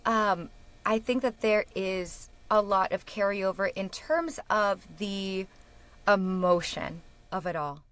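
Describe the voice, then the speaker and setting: Woman, speech therapist guest, animated casual chat, laughs often, mid-range voice. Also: mid-range voice. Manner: enthusiastic, quick to laugh, restarts mid-sentence. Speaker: guest, speech-language pathologist.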